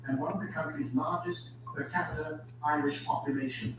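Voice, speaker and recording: A man reading scripted documentary narration in an even, informative way. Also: on the high side, brisk, distant mic, noisy background. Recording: echoey video playback from off-mic with music.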